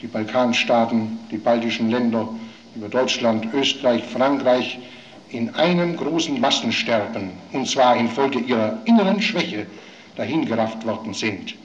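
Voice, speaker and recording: A man's voice: mid-range voice, normal speed, lo-fi sound, natural voice. A man playing a grave post-war parliamentarian, giving an insistent, rhetorical political speech. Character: grave male post-war parliamentarian arguing before committee.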